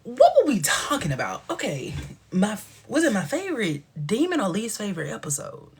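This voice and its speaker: A woman's voice: mid-range voice, huge pitch swings, normal speed, slight background noise. A woman in her thirties hosting a casual livestream, chatting in playful, affectionate slang with viewers and a guest. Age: 30s. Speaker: livestream host.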